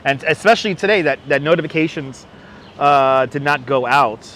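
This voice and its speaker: A man's voice: a little high, normal speed, a bit bright. A man from Brooklyn with a faint New York accent, hosting a casual livestream outdoors on a city street and rambling as he thanks viewers by name on a clip-on mic. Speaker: livestream host. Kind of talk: casual live-stream chat while out in the city. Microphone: wireless clip-on mic. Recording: street noise in the background.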